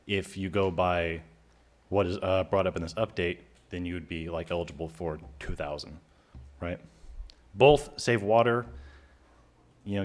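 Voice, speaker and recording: A city staff man explaining a program update at a council meeting, casual and hedging. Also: low voice. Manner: casual, hedging with "you know". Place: council chamber.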